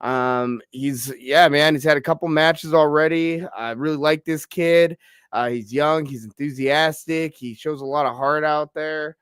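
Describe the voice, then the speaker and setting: A man's voice: on the high side, steady tone, measured pace, a bit bright, clean tone, dry recording, studio quality. A young man hosting a casual combat-sports podcast, a little stuffy from a cold, laid-back with lots of fillers and "bro". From Pacific Northwest, US. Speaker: podcast host. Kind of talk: casual interview chat.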